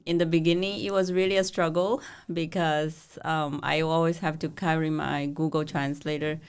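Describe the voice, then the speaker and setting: A woman's voice: low-pitched, flat delivery, normal speed, smooth. A woman from China with a Chinese accent, a nurse practitioner telling stories in casual interview talk, with simple non-native phrasing and frequent laughs.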